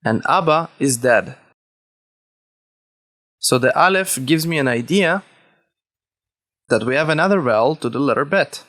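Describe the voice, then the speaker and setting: Male Hebrew-speaking language teacher, deliberate delivery. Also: fairly high pitch, lively intonation, fairly bright tone. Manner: deliberate, repeats key words for learners. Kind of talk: instructional lesson, explaining step by step.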